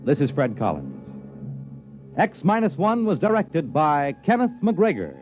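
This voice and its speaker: A man announcing the credits of a 1950s radio show in a formal, measured way. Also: on the high side, huge pitch swings. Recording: dull old radio broadcast with faint music.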